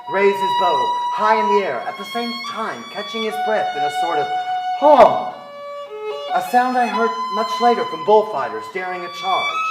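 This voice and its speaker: Man acting an animated, wistful storyteller, echoey stage. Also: fairly high pitch. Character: wistful man recalling his boyhood memories. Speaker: stage actor in a staged reading. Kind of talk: theatrical literary narration. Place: theatre stage. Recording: echoey theatre hall. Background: violin music.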